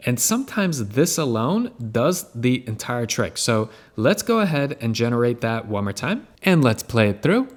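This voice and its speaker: A man hosts a screen-share software tutorial. He speaks at a medium pitch in a casual, explanatory style, talking the listener through each step. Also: sing-song, moderate pace, hardly any pauses.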